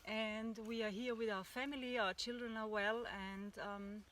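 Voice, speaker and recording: An Austrian woman speaks English with a clear Austrian German accent, eager and enthusiastic as she reaches for the right words. Her voice is bright and ringing, and she is outdoors under an old tree with a faint sound of open air around her. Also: fairly high pitch, steady tone, measured pace, a little hiss or hum. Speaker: workshop co-host. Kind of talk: casual spiritual talk.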